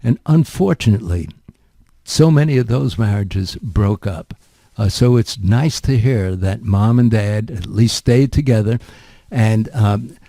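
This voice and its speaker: A man's voice: medium pitch, dark tone, no room sound. An older man hosting a radio interview, asking chatty, rambling questions full of asides. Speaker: radio show host.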